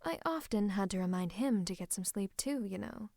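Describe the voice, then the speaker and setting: A woman playing a scheming royal advisor in a fantasy roleplay, speaking close to the listener in a coaxing, teasing, falsely comforting way with breathy asides. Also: mid-range voice, lively intonation, moderate pace, darkish tone, no echo. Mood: sympathetic, silky. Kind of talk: roleplay ASMR monologue to the listener. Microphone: close microphone.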